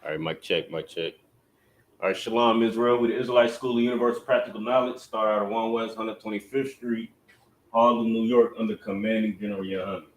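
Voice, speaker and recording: Low-voiced man teaching an online class. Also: fairly even intonation, brisk, a little hiss or hum, poor audio. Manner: casual, loose delivery with repeated "you know what I'm saying"; speaks to viewers directly, giving instructions. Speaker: class instructor. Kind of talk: livestreamed religious language class.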